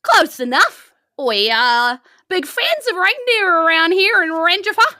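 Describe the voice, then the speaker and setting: A woman's voice: extremely high pitch, big pitch swings, unhurried, sharp tone, voice pushed up, exaggerated voice. A woman voicing a cheeky, friendly drunken dwarf townsman in a fantasy tabletop game, blunt and colloquial.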